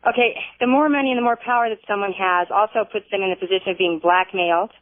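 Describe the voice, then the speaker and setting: A woman's voice: mid-range voice, dry recording. Insistent woman caller on a tinny phone line. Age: 40s. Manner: halting, insistent. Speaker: caller to a radio show. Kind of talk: argumentative call-in conversation. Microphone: telephone.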